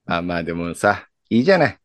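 A man's voice: deep voice, very animated, quick pace, big-room sound. A man acting in a comedic radio drama, talking in an easygoing, soothing way.

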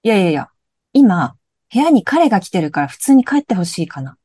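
A woman's voice: medium pitch, big pitch swings, a little fast, warm tone, slightly rough, no echo, studio quality. A woman acting the lead in a comedic radio drama, answering in flustered, hesitant bits, and also narrating.

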